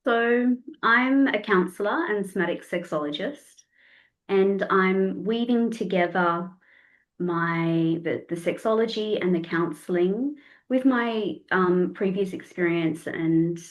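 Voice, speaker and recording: A woman in her forties with a slightly croaky voice, reflecting as a guest in a casual podcast conversation. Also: mid-range voice, slow pace, poor audio. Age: 40s. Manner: reflective, searching for words, repeats phrases. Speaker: guest, counsellor and somatic sexologist.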